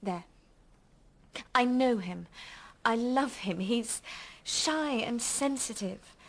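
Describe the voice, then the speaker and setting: An actress in a radio drama playing a candid, earnest young woman who speaks a little haltingly. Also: fairly high pitch. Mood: embarrassed, earnest. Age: young adult.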